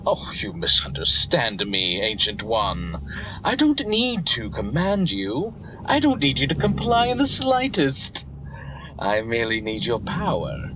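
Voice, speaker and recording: A man's voice: mid-range voice, wildly swooping pitch, unhurried, background noise. A man playing a scheming, gloating snake villain lord in a fan audio drama, with a mocking, theatrical and cruel manner. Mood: smug menace.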